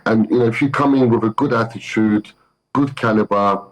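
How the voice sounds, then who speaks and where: A man's voice: on the low side, normal speed, poor audio. A man from Turkey with a London accent, a football manager speaking as a guest, thinking aloud with many fillers and restarts. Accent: London English. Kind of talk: remote interview.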